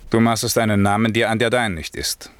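A man's voice: on the low side, level tone, brisk, smooth, no room sound, natural voice. An actor playing a stern middle-aged sorcerer father in a classic radio drama, imperious and scolding, with theatrical delivery. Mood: stern, accusing. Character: stern, commanding sorcerer father, lord of an island. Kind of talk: classic German radio drama verse acting.

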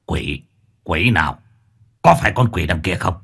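A menacing older boss man who snarls his threats and curses, as a male storyteller puts him on, with a husky, rough edge. His voice swings widely between low growls and sharp outbursts. He sits on the low side. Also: wildly swooping pitch, moderate pace, full character voice.

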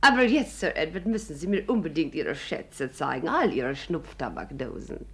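A woman's voice: low-pitched, lively intonation, normal speed, slightly rough, heavily acted. A woman playing a chatty, naively tactless, wealthy American society wife in a 1950s German radio play, effusive and exclamatory.

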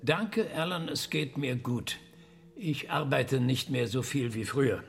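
An actor playing a patient professor in his sixties who questions carefully and deliberately in a radio drama. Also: medium pitch, vocal fry. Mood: friendly, careful. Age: 60s. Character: 68-year-old male professor, patient, careful, probing questioner.

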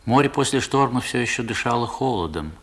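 A man acting as the narrator of a 1960s radio drama, recalling his boyhood in measured, expressive literary prose. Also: medium pitch, husky. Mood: reflective. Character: grown male narrator recalling his seaside boyhood adventure.

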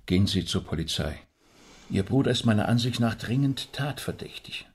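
Husky male voice, insistent rabbi detective, formal, measured, persuasive, radio drama. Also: fairly low pitch, expressive.

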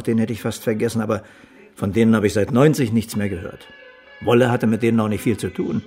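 Radio drama: blunt, forgetful middle-aged man, wry and swinging in pitch, gravelly edge. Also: a little mellow. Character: gruff, sardonic, forgetful former escape helper. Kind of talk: naturalistic radio play acting.

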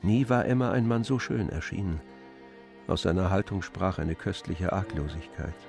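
A man narrating a literary radio drama in a measured, composed, knowing manner. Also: deep voice, creaky, a little mellow, faint music behind. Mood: composed, intimate.

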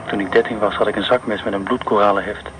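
An actor playing a haunted man who speaks in a slow, brooding monologue about blood and war, in a radio play. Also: on the low side, steady tone, background noise, close to an everyday voice. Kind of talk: radio drama within a radio broadcast.

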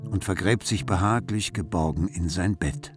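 A man's voice: low-pitched, normal speed, music in the background, natural voice. A man narrating a literary radio play in an observant, composed way. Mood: composed, wry.

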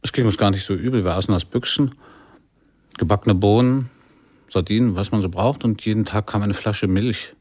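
An actor playing an easygoing jazz musician who tells droll, deadpan anecdotes in a radio play. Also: male voice, lowish voice, normal speed, husky, no echo.

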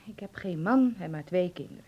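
An actress playing a doting but sharp-tongued middle-aged mother who fusses, in a Dutch radio drama. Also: lowish voice, expressive, a little fast, muffled recording. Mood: exasperated.

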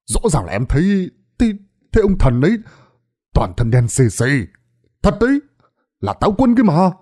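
A man doing a shrill frantic young villager. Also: wildly swooping pitch, moderate pace, mellow. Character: panicky young village man, excitable and pleading.

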